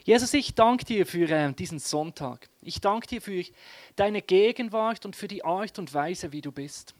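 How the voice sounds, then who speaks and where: A man preaches in a lively, chatty way and keeps turning to his congregation. His voice sits high for a man. The sound is close and dry, with little room around it. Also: dry recording. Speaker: pastor. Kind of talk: sermon with announcements.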